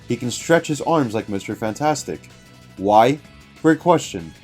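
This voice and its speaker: A man's voice: medium pitch, animated, a little slow, breathy edge. A man reading a written video game review aloud as scripted narration. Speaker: guest reader of a written review. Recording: music behind the voice.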